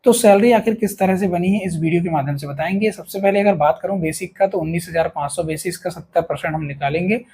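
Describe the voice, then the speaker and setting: A man's voice: high voice, fast, gravelly, few pauses, dark tone, husky. A man with an Indian accent presenting an informal explainer to viewers in an instructive way, often checking in with short tags. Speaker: video presenter explaining police pay.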